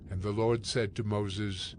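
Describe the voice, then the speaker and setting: A man's voice: lowish voice, fairly even intonation, measured pace, a little mellow, a little hiss or hum. A man narrating scripture from a script as part of a dramatized audio production.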